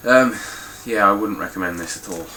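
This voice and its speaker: A man's voice: on the low side, fairly quick, bright, forceful tone, a little hiss or hum, low-quality recording. A man with a stuffy, nasal voice giving a casual, blunt spoken review of his game collection, with frequent ums and some swearing.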